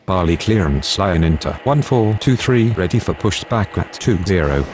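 Man acting male air traffic radio voice, low pitch, clipped, procedural, game dialogue, faint ambient rumble. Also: lively intonation, moderate pace. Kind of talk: scripted aviation radio phraseology.

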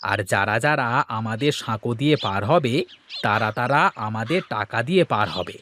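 A man acting an animated, scheming village husband. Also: fairly low pitch, moderate pace, a little hiss or hum. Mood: eager. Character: eager scheming village husband, bossy leader with business plans. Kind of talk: Bengali cartoon voice acting.

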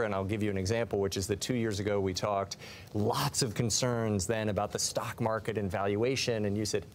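A man's voice: fairly low pitch, slight background noise. A middle-aged man asking direct, conversational questions as interviewer in a TV studio. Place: TV studio with newsroom behind.

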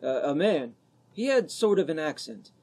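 An actor playing a friendly, casual young man with a husky voice in an old-time radio drama. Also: on the high side, very animated, normal speed, a little mellow. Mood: casual, puzzled.

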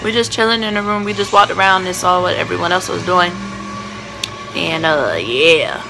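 A young woman vlogging on her phone with a hoarse, nearly lost voice, speaking quietly. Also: fairly low pitch, normal speed, rough recording. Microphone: phone camera held at arm's length.

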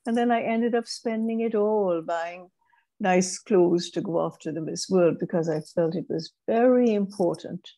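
Older Caribbean woman, mellow low voice. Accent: British-Caribbean. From Grenada. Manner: measured, thoughtful, with frequent restarts. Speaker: guest speaker. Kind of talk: reflective interview storytelling. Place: home room, indoors. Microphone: wired earbuds on a video call.

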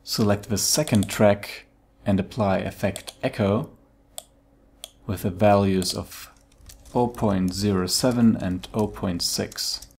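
A man narrating a step-by-step software tutorial in a dry, matter-of-fact way. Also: lowish voice, fairly even intonation, slow pace, gravelly, husky.

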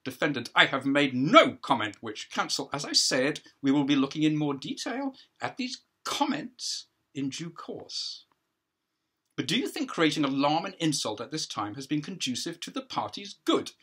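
A man's voice: on the high side, big pitch swings, normal speed, bright, forceful tone, husky. An older man giving a dramatic read-through of a play script, calling out each speaker's label. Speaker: writer reading his play aloud.

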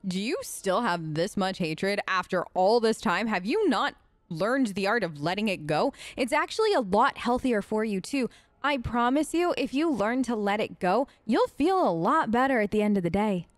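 A woman's voice: mid-range voice, very animated, moderate pace, dry recording. A woman hosting a role-play let's play, talking casually and informally as she improvises in character.

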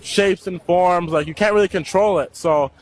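A man's voice: high-pitched, normal speed. A young man answering a street interview into a handheld mic, hesitant and full of fillers. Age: young adult. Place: city street at night.

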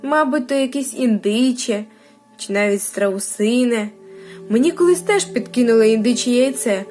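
A woman's voice: a little high, normal speed, darkish tone, natural voice. A nosy old female goose from a storybook, acted out by a woman talks in a raised, gossipy voice. She sounds opinionated, over a music bed. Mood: suspicious, advising. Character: nosy, suspicious old mother goose neighbour. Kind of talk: children's storybook character voice.

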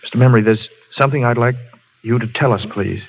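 An actor playing a brisk adult hero, clipped and commanding, on an old radio play recording. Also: male voice, mid-range voice, gravelly, slight background noise, close to an everyday voice. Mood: urgent. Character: brisk adult male hero, urgent and wry. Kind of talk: 1930s radio drama acting. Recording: dull old radio broadcast.

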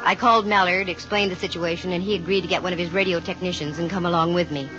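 An actress playing a shrewd, gutsy private investigator with an American accent and dry, brisk delivery in a 1950s radio drama. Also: female voice, fairly low pitch, steady tone, music in the background, natural voice. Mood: brisk narration. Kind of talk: 1950s radio detective acting. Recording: muffled, noisy 1950 radio recording.

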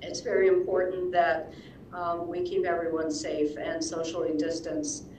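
A woman's voice: fairly low pitch, little pitch movement, a little mellow, slight background noise. Woman health official, distant and echoey. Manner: halting, many ums and uhs. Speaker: public health director. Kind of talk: reading public announcements. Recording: echoey room, heard from far off.

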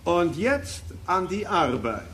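High-voiced, cranky sinister house owner. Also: male voice, very animated, slow pace, a bit bright, exaggerated voice. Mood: gruff, suspicious. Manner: stern, scolding, commanding. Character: cranky, sinister, strict eccentric man who owns a spooky house. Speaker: actor in a children's mystery radio play. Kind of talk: German audio drama acting. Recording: long reverb, clearly noisy.

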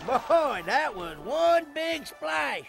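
An actor voicing a goofy, boastful cartoon tow truck with a folksy drawl and a Southern US accent. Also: male voice, extremely high pitch, big pitch swings, very slow, creaky, full character voice. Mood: proud, excited. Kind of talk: animated cartoon storytelling.

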